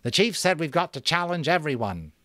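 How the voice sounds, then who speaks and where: A man voicing an excitable, challenging schoolboy sentry of a savage tribe in a storybook reading, bold in manner. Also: a little high, a little fast, no echo, studio quality, pitched above the performer's own voice.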